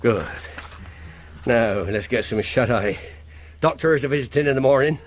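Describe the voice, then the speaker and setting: An actor playing a sly, commanding pirate ringleader in a radio drama, drawling and taunting. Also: male voice, mid-range voice, normal speed, no room sound, natural voice. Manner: drawling, wheedling, rhetorical taunts.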